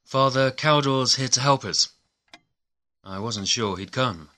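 A man's voice: mid-range voice, unhurried, bright, forceful tone. An actor playing an anxious, eager-to-please husband in an audio drama, hesitant, earnest and devoted to his troubled wife.

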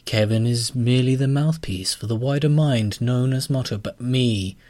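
A man voicing a sentient bank computer that speaks in a calm, grandiose, self-important way. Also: mid-range voice, no echo, pitched above the performer's own voice, natural voice. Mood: lofty. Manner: measured, lofty, pompous.

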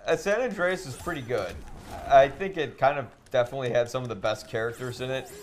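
A man's voice: mid-range voice, brisk. A man in a casual, informal podcast chat, talking loosely while playing a video game. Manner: informal, swearing. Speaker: podcast co-host.

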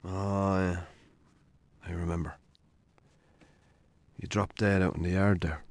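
Low-voiced Irish farmer. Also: male voice, steady tone, takes it slowly, vocal fry, full character voice. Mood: subdued. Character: troubled, dry-witted Irish farmer, grown son. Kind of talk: naturalistic radio drama acting.

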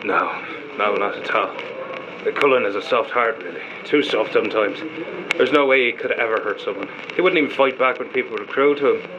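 An actor playing a hot-tempered, loyal stowaway prisoner, defiant and emotional, in an audio drama. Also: male voice, medium pitch, fast, highs cut off, noisy background, close to an everyday voice. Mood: pleading, earnest. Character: hot-tempered lower-class stowaway prisoner, fiercely loyal to his friend.

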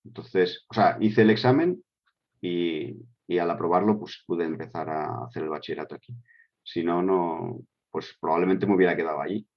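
A middle-aged man speaks in a fairly low voice, answering interview questions in a relaxed, halting way full of fillers and restarts. The recording sounds dull and lo-fi. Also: vocal fry. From Switzerland. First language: Spanish with German. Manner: hesitant, many fillers and restarts.

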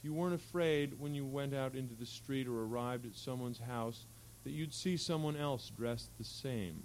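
A man's voice: medium pitch, normal speed, darkish tone, low-quality recording. Man reading fiction aloud, faint hum behind. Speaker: reader at a literary event. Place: live public reading at an event. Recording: faint hum and background noise.

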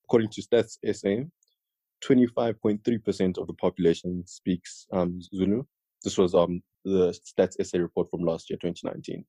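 A man's voice: low-pitched, steady tone, moderate pace, warm tone, dry recording. A young South African man co-hosting a podcast, chatting casually and joking with his co-host.

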